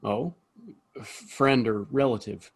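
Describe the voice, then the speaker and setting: A man's voice: medium pitch, fairly even intonation, slow pace, heavy background noise. Man playing earnest, hesitant Western bartender. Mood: friendly, apologetic. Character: decent, apologetic saloon bartender in Old West. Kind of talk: Western audio drama acting.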